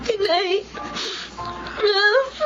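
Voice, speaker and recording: A woman's voice: extremely high pitch, very slow, full character voice. An actress playing a distraught, selfless young working woman and eldest sister in a Filipino melodrama, pleading and emotional. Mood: tearful. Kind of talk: Filipino radio-style melodrama acting. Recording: muffled lo-fi audio, loud music underneath.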